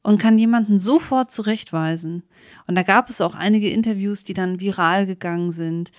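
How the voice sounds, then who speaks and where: A woman's voice: mid-range voice, moderate pace, dry recording, studio quality. A woman chatting casually as a podcast co-host. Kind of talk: casual chat.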